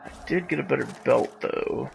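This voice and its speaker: A man with a gravelly, creaky voice chats casually as a game streamer. His delivery is jokey and mock-dramatic. Game music plays clearly behind him. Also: mid-range voice, normal speed, poor audio. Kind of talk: casual live gaming commentary. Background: video game music.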